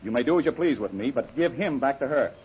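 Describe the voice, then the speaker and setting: Brave, wry adventurer hero, mid-range voice, forthright, vintage radio serial with dull sound. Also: male voice, mid-range voice, expressive, fast talker, husky. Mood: resolute. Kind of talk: old-time radio adventure serial acting. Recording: muffled, noisy old radio recording.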